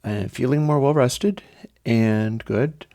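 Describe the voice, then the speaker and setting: A hoarse man casually walking through an audio plugin demo, talking in a relaxed way with many fillers and pauses. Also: medium pitch, no echo, clean studio sound. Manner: relaxed, hesitant, with frequent fillers. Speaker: plugin developer demonstrating audio software. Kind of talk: casual tutorial walkthrough.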